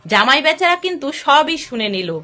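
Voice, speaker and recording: A woman storyteller with a high, bright voice. She narrates a scripted family tale with a lively, swooping delivery. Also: moderate pace. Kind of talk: scripted moral story narration in character dialogue.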